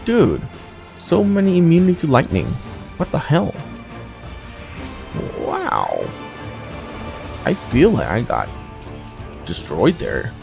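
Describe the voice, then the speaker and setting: A man's voice: on the high side, wildly swooping pitch, slow, vocal fry, long pauses. A man doing casual gameplay commentary, reacting with slangy exclamations while he plays. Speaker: gamer playing and reacting. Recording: game music behind the voice. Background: video game music.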